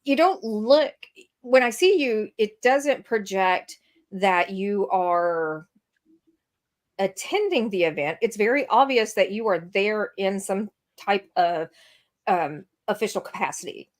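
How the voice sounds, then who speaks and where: A woman's voice: medium pitch, lively intonation, takes it slowly. A woman co-hosting a casual chat, asking a guest questions in a rambling way. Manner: rambling, lots of fillers and restarts. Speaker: podcast co-host.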